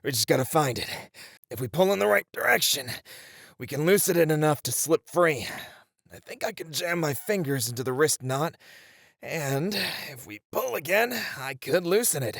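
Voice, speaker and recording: Cocky, flirty ninja played by a man, lively delivery. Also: on the high side, normal speed, creaky, no room sound, studio quality, exaggerated voice. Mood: focused, straining. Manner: teasing, breezy banter. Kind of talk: audio roleplay acting.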